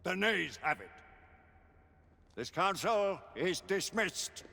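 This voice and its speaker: An actor playing a stern elderly Lord Chancellor in a game, formal and imperious, with a husky voice. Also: male voice, high-pitched, very animated, takes it slowly, bright, forceful tone, exaggerated voice. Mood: commanding. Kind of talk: dramatic video game cutscene acting. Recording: echoing great hall.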